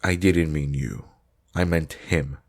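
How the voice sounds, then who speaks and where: A man's voice: very deep voice, fairly even intonation, slow pace, exaggerated voice. A man playing a stern, haughty vampire combat master in a roleplay audio drama, formal, blunt and commanding.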